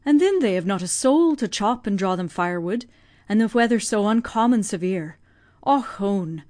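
A woman's voice: mid-range voice, expressive, moderate pace, a little mellow, dry recording, studio quality, natural voice. A woman reading aloud, voicing an old, tender-hearted Irish servant woman with an Irish accent. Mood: dismayed. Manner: lamenting, emotional.

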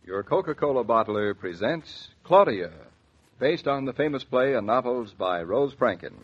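A man's voice: mid-range voice, expressive, normal speed. A man reading a scripted sponsor introduction as a 1940s radio announcer. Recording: dull, scratchy 1940s radio transcription recording.

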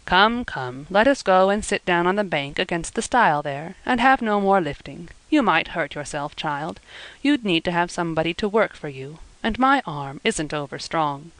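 A woman voicing a tender old countryman who talks slowly in rustic speech. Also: fairly low pitch, animated, fairly bright tone, dry recording, low-quality recording. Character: old man, tender weaver with a pipe.

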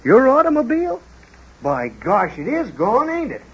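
An actor playing an excitable, good-natured small-town storekeeper in old-time radio drama, talking with rustic, homespun exclamations. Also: male voice, very high-pitched, moderate pace, husky, exaggerated voice. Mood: puzzled, excited. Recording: dull old radio broadcast recording.

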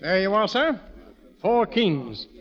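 An actor playing a proud, pompous, easily offended gentleman in 1940s radio drama. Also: high voice, sing-song, slow, exaggerated voice. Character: proud, blustering Southern gentleman theatre manager. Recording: dull 1940s radio recording, long reverb.

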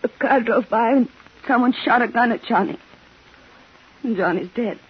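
A woman's voice: on the high side, normal speed, breathy edge. An actress playing a fragile young mother and widowed sister in a 1950s radio drama. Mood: dazed grief. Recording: muffled, noisy vintage radio recording.

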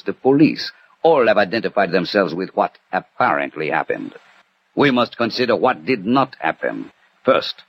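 A man playing a cool, methodical French detective who narrates and reasons deliberately in a 1960 radio mystery. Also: low-pitched, breathy edge, full character voice. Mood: deliberate reasoning. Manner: measured, deliberate, analytical. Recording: muffled old radio recording.

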